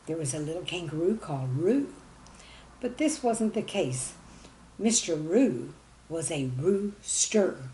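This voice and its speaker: Female children's storyteller. Folksy, expressive read with big pitch swings, on the low side, slightly noisy recording. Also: takes it slowly. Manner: folksy, animated, addresses young listeners directly.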